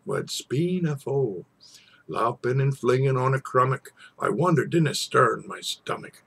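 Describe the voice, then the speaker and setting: A man gives a dramatic recitation of an old Scots tale in verse. His voice is husky and crackles with fry. He takes his time, storyteller fashion, with theatrical pauses and swells. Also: medium pitch. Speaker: poetry reader.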